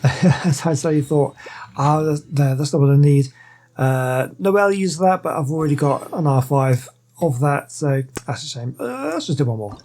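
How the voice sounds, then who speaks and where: A man's voice: on the high side, animated, moderate pace, a little mellow, soft background music. A man giving casual, easygoing, rambling let's play commentary as a gaming channel host.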